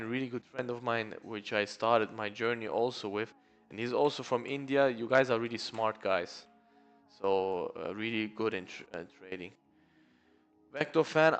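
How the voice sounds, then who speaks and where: A man with a clear, resonant voice hosts a casual live trading stream. He reads the chat aloud and greets viewers by name in a relaxed, friendly way. Soft music plays faintly in the background. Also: medium pitch. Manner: relaxed, chatty, says "bro" often.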